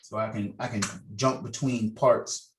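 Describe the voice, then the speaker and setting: A man's voice: fairly low pitch, fairly even intonation, measured pace, background noise. A man chatting casually and explaining things in an informal, colloquial way on a video call, as the organiser of a table read. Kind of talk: casual meeting chat. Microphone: video call microphone.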